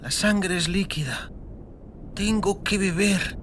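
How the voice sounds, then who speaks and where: A woman's voice: mid-range voice, takes it slowly, a little hiss or hum, lightly acted. An actress playing an exhausted, frightened but stubborn and tender teenage girl in a dramatized audiobook. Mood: weak, pleading. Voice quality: hoarse, breathy whisper at times.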